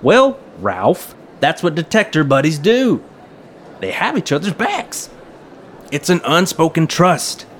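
A man's voice: a little high, big pitch swings, takes it slowly, a bit bright, heavily acted. A man acting in an audio drama as an eager, chummy metal detector club leader who talks in mock-official radio jargon. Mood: reassuring, earnest. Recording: clear background noise.

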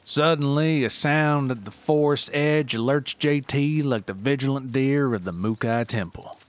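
A man's voice: mid-range voice, measured pace, soft background music. A man reading scripted mock-documentary narration in a theatrical, mock-serious manner.

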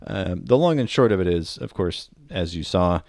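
Male podcast co-host, low register with lively intonation, wry and chatty, given to drawn-out explanations. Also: creaky, no echo, studio quality. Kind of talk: casual comedic podcast chat.

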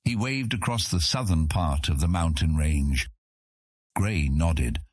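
A man's voice: extremely low pitch, animated, slow, breathy edge, dry recording, clean studio sound. A man narrating a scripted fantasy audiobook as its storyteller.

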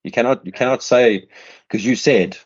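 A man's voice: mid-range voice, normal speed, highs cut off. A man with a South African accent hosting an interview, rambling in casual chat with many restarts and repeated words. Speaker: podcast host.

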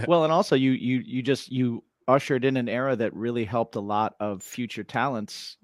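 A man's voice: medium pitch, fairly even intonation, normal speed, dry recording, clean studio sound. A man co-hosting a casual interview, affirming the guest and asking long leading questions. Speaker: podcast co-host.

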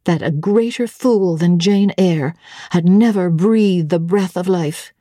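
A woman narrating classic literature as a dramatic audiobook, with theatrical, deliberate phrasing. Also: fairly low pitch, warm tone, no echo, studio quality.

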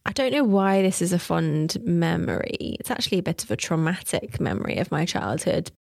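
A woman's voice: on the low side, big pitch swings, a little fast, vocal fry, darkish tone, no echo, studio quality. A woman in her thirties, from Wales, chatting casually as a podcast guest in a giggly, teasing way. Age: 30s. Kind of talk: casual chat.

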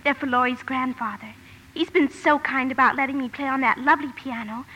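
An actress playing a sweet, artless, kindly younger sister in old-time radio drama style. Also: female voice, high voice, fairly quick, bright, forceful tone. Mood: shy, sweet. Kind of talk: 1940s-50s radio drama acting. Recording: noisy vintage radio broadcast recording.

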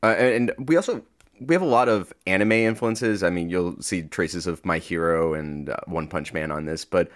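Lowish-voiced man chatting as a guest. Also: normal speed. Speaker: podcast guest, audio fiction creator. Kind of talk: casual interview chat.

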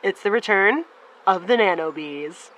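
A woman's voice: medium pitch, lively intonation, measured pace, a bit bright. A woman playing an upbeat, polished field news reporter delivering a live report in an audio drama. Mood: upbeat, eager. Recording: noisy outdoor scene with buzzing insects. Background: humming, buzzing insects.

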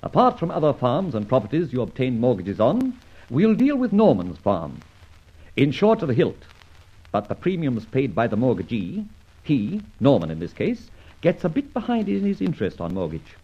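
An actor playing a suave, sardonic gentleman thief who speaks with theatrical command in an old radio drama. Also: mid-range voice, huge pitch swings, normal speed, husky. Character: suave gentleman thief, adult man, mocking. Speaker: lead character. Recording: dull old radio recording.

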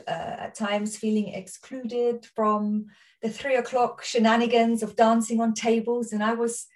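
A woman's voice: medium pitch, a little mellow, distant mic, low-quality recording. A woman from Austria with an Austrian accent, a podcast guest talking earnestly in casual chat. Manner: earnest, storytelling.

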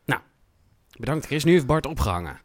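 A man's voice: mid-range voice, big pitch swings, brisk, no echo. A man acting in a radio play as a cheerful, casual grown son on his phone. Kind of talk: naturalistic radio drama acting.

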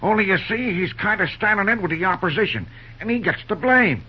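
An actor playing a folksy, deferential western hired hand in an old-time radio drama. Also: male voice, high-pitched, expressive, fairly quick, husky. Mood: earnest, regretful. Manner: folksy backwoods speech. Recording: muffled old radio recording.

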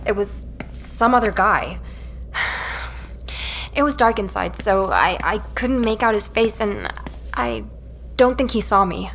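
A woman playing a shaken young woman who records a hurried, halting account in a naturalistic audio drama, sighing between phrases. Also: mid-range voice, lively intonation, a bit bright, a little hiss or hum. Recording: music underneath.

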